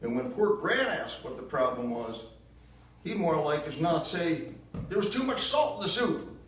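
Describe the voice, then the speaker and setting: A man putting on a shrill, furious mother. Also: moderate pace, slight background noise. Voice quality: loud and shrill, spitting scorn. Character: bitter grieving southern mother, middle-aged woman. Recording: echoey room, mic far off.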